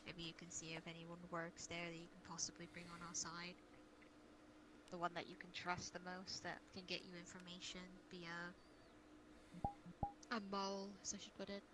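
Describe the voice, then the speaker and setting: A woman's voice: lowish voice, monotone, moderate pace, long pauses, natural voice. A woman playing a caring, cautious guild leader in an improvised fantasy role-play, speaking earnestly and hesitantly over online voice chat. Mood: uneasy. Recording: noisy online voice-chat audio.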